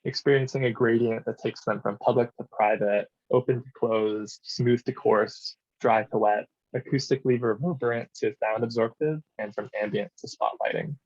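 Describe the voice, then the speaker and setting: A man gives a conference presentation on design at a steady, explanatory pace, often pausing with "um." His voice sits at an ordinary middle pitch. The audio is slightly dull and muffled, like an online talk. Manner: measured, explanatory, frequent um fillers. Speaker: architect presenter. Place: New York City.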